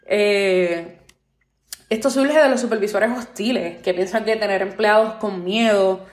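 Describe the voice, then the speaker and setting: A woman's voice: mid-range voice, unhurried. Woman, twenties, Puerto Rican accent, solo podcast monologue, opinionated, lots of fillers, echoey room. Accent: Puerto Rican Spanish. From Puerto Rico. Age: 20s. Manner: many fillers such as "este", "pues" and "eh"; opinionated, earnest complaints about workplaces. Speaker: podcast host. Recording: roomy echo.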